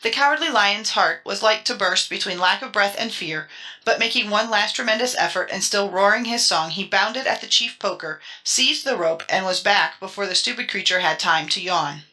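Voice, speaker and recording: A woman's voice: mid-range voice, normal speed, sharp tone, low-quality recording. A woman reading a children's storybook as a dramatic audiobook narrator.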